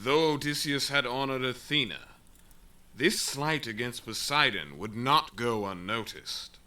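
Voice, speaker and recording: A man's voice: medium pitch, measured pace, bright, forceful tone. A man narrating an epic audio drama in a solemn, portentous, scripted storytelling style. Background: music.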